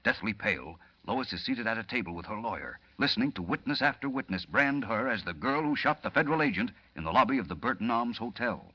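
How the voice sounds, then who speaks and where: An actor as a solemn serial narrator, delivering dramatic scripted narration in 1940s radio style. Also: male voice, lowish voice, expressive, fast, hardly any pauses, pushed and bright, slightly rough, exaggerated voice. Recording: dull old radio, music behind.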